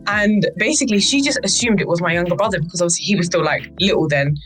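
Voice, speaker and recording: Young British female, lowish register, casual animated storyteller, faint music underneath. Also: quick pace. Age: young adult. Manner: animated, quotes people in acted voices, frequent "like" and "right?". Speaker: youth board podcast speaker. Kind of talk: casual chatty anecdote telling.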